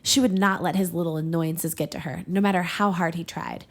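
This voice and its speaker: A woman's voice: fairly low pitch, fairly even intonation, fairly quick. A woman co-hosting a comedy podcast, moving between casual banter with friends and reading a story aloud. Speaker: podcast co-host.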